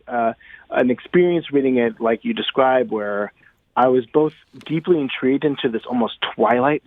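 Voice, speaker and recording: A man being interviewed on the radio, talking conversationally and thoughtfully, halting as he searches for words. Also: medium pitch, dry recording. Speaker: interview guest, playwright. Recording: narrow, phone-like line.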